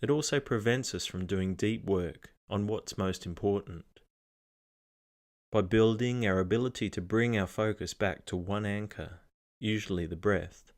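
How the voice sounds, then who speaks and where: Male meditation guide, deep register, gentle and measured scripted narration. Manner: calm, measured, gently instructing.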